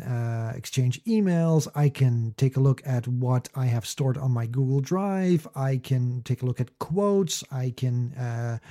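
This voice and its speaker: Male presenter, mid-pitched and deeply mellow; casual explainer delivery, conversational, dry humour, leans on key words. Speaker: host, small business owner.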